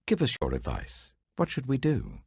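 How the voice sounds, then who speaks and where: Man playing an imperious, husky usurper prince. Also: medium pitch, huge pitch swings, normal speed, no room sound, studio quality, voice pushed up, heavily acted. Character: ambitious young usurper prince, imperious and questioning.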